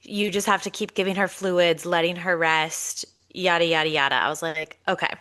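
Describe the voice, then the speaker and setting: Woman, 30s, stuffy nasal voice, animated storyteller, tense bright tone. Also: fairly low pitch, moderate pace. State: congested, nasal. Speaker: podcast co-host. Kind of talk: casual storytelling chat.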